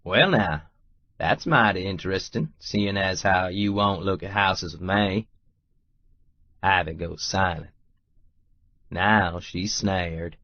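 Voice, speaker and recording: A low, unhurried drawl from a stubborn, lovestruck cowboy oilman acted by a man, wry and folksy as he tells his romance story. The sound is dry and a little dull, like a muffled audiobook file. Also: pushed and bright, no echo, exaggerated voice. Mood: wry, determined. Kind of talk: first-person audiobook narration with dialogue.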